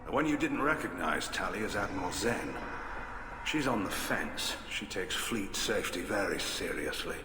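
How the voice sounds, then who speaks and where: An actor playing a gruff, hawkish middle-aged alien admiral in a video game, blunt, clipped and wry. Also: male voice, deep voice, lively intonation, normal speed, sharp tone. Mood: grave, blunt. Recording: echoey hall, music behind.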